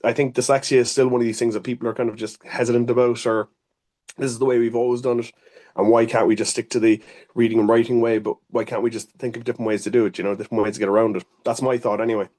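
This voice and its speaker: An Irish man of middle pitch answers interview questions earnestly. He rambles, restarts sentences and leans on fillers as he explains his work. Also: level tone, fast, a little mellow. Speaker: occupational therapist.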